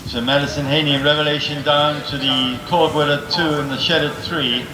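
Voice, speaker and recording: PA announcer man over an echoing loudspeaker. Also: a little high, brisk. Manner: steady, matter-of-fact calling of riders and fences. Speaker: event announcer. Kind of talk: public address announcing of horse trials. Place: outdoor horse show grounds. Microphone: loudspeaker heard from a distance. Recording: echoey loudspeaker from far off, loud outdoor noise.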